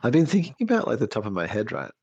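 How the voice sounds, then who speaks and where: A man's voice: medium pitch, huge pitch swings, racing, darkish tone, husky, no echo, dull audio. A man co-hosting a casual, absurd chat show, rambling and restarting his sentences. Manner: rambling, hesitant, lots of restarts. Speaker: co-host. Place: home setup, streamed show.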